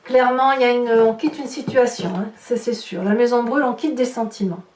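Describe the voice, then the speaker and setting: A woman with a fairly high voice speaks as a tarot reader to her viewers. She thinks aloud informally, often checking in with small questions. The sound is very roomy and a little far from the microphone. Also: fairly even intonation, brisk, darkish tone, slight background noise. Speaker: tarot reader hosting a horoscope channel. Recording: roomy echo, distant microphone.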